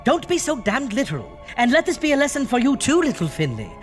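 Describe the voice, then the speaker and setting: A high-pitched, pompous undead horror host. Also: male voice, wildly swooping pitch, quick pace, faint music behind, heavily acted. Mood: haughty, scornful. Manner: theatrical, pompous, alliterative. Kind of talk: campy horror host radio acting.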